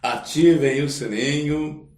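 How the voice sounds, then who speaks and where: A man's voice: a little high, takes it slowly, darkish tone. A Brazilian man hosting a podcast, chatting casually with his guest. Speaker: podcast host. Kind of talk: casual interview chat. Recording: echoey room.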